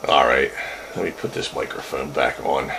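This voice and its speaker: A man with a deep voice talks over a two-way radio link with faint static. His delivery is casual and wry, like a hobby operator joking around at a desk mic. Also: normal speed, creaky. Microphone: desk microphone.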